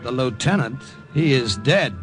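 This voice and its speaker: An actor playing an earnest young American army sergeant who also narrates, in a 1940s radio drama. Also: male voice, medium pitch, lively intonation, a little slow, over loud music, lightly acted.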